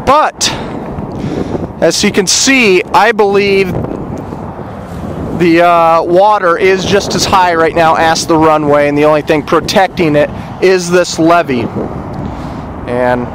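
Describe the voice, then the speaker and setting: A man's voice: a little high, takes it slowly, pauses often. A man giving a casual on-location report outdoors by a river, rambling with many "uh" fillers. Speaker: citizen reporter. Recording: outdoor recording with loud wind and river noise.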